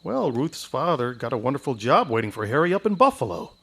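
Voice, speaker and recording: A man's voice: medium pitch, sing-song, a little fast, creaky, a bit bright, breathy edge, heavily acted. An actor playing a restless, proud young man in a staged radio drama, stammering when shy and heated when angry. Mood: wry.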